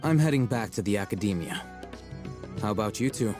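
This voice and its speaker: An actor playing a cool, detached young scholar in a video game, measured, dry and matter-of-fact. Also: male voice, fairly low pitch, expressive, lightly acted. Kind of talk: English video game cutscene voice acting. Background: game soundtrack music.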